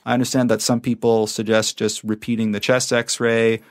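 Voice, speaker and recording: A man's voice: mid-range voice, flat delivery, normal speed. A man hosting a medical podcast in a conversational interview style, explaining, recapping points and asking questions. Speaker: podcast host, emergency physician.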